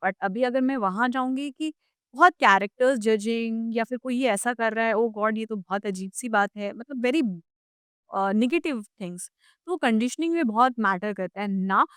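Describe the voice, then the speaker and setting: Female podcast host, Indian accent, higher pitch, smooth clean tone, conversational and a bit halting, close dry sound. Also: no room sound. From small town in India. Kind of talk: conversational interview, Hindi mixed with English.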